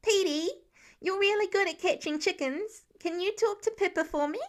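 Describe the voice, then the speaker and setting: Lady doing a little kid boy in an audio story, really high voice, upset n pleading, dead earnest. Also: moderate pace.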